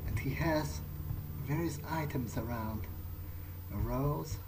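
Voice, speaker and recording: A man's voice: medium pitch, takes it slowly, noisy background. A man doing in-character let's play commentary on a video game, hesitant and thinking aloud. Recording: game music clearly behind voice. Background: video game soundtrack.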